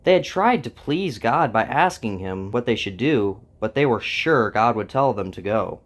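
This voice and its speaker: Man narrating a dramatized story, mid pitch. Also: lively intonation, moderate pace. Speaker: narrator and host.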